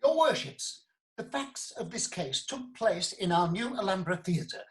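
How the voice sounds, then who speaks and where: Earnest prosecuting lawyer for comic courtroom audio drama, female actor, medium pitch, formal storytelling delivery with theatrical touches. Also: big pitch swings, measured pace. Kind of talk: comic courtroom radio play acting.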